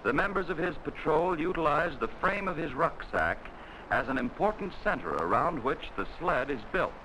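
A man narrating a 1940s military training film in a measured, instructional way, on an old film soundtrack. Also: fairly high pitch, lively intonation, bright, forceful tone, a little hiss or hum, low-quality recording.

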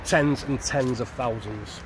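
A middle-aged man explains things in an earnest, matter-of-fact way. He speaks into a handheld mic outdoors on a street at night. Traffic and street noise are clearly audible behind him. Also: medium pitch, slow pace. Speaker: interview guest, former prisoner. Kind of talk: casual interview answers.

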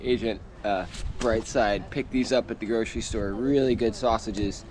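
A man's voice: lowish voice, steady tone, normal speed, a little mellow, a little hiss or hum. An American man in his thirties hosting a camping vlog, talking to a handheld camera outdoors and laughing often. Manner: upbeat, laughs often, talks straight to camera. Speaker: outdoor vlogger host. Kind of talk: casual camping vlog chat. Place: outdoors in a rainy forest camp. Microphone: handheld camera held close.

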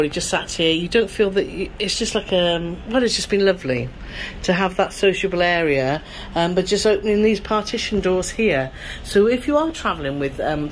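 A woman's voice: fairly low pitch, poor audio. Woman, middle-aged, chatty, tag questions, cabin on a ship, faint hiss. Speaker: travel vlogger co-host. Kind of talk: casual tour chat. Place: cruise ship cabin, indoors.

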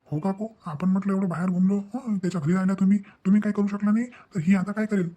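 A man's voice: high voice, steady tone, fast talker, warm tone. A man voicing a disheartened, exasperated young family man in a cartoon, fretting and repeating himself as he rambles. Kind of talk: cartoon comedy-drama dialogue.

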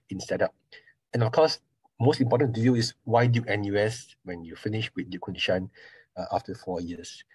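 A middle-aged man with a Singaporean accent, a surgery professor giving an online talk in a measured, explanatory way with frequent pauses. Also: on the low side, darkish tone, husky, no room sound, low-quality recording. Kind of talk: online information session talk. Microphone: video call.

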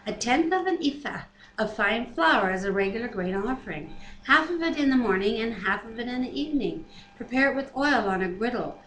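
A woman's voice: on the high side, animated, brisk, a bit bright. A woman, reading scripture aloud and commenting casually as she goes. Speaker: Bible reader and co-host. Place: living room at home, indoors. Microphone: webcam at arm's length. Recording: roomy webcam sound, faint noise.

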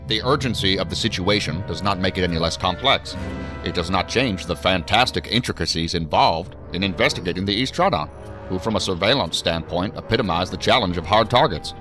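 A man playing a weary, dryly witty federal assistant director in an audio drama, speaking in a formal, measured, articulate way. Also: low voice, music in the background, close to an everyday voice. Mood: controlled, formal.

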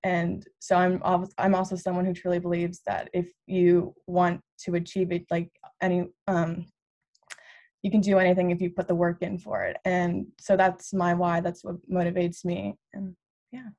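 Flat, low-pitched teenage girl. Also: normal speed. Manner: earnest, many fillers. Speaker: youth panelist. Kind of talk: casual panel talk. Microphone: video call.

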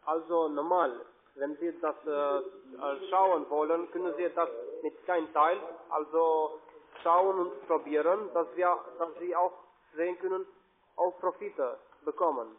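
A man with a fairly high voice and a foreign accent talks over a tinny phone line. He works through a call center sales pitch haltingly, often repeating himself. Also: steady tone. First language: not German. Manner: hesitant, repetitive. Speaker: call center investment agent.

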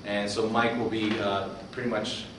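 A man's voice: fairly low pitch, flat delivery, moderate pace. A man hosting a community tech meetup, speaking casually and informally to the crowd as he emcees. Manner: casual, repeats audience questions for the room. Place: meetup room with an audience. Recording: echoey room from far off, faint noise.

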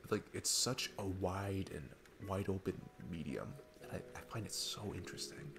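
A man's voice: deep voice, expressive, normal speed, over music. A man playing a sweet, earnest, geeky single dad on a date, rambling and hesitant in an intimate ASMR roleplay. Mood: excited, earnest.

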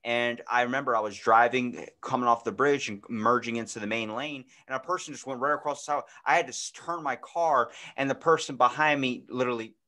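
A man's voice: medium pitch, fairly quick, few pauses. Young man swearing through casual stories. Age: 20s. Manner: animated, profane, slangy storyteller. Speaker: podcast host. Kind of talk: casual chat, storytelling. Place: indoor room, podcast video call.

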